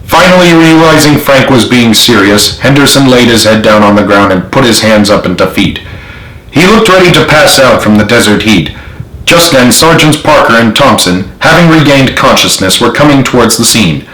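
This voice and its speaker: A man's voice: mid-range voice, animated, normal speed, a bit bright. Echoey male author narrating his story. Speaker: author reading own novel. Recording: roomy, echoey home recording.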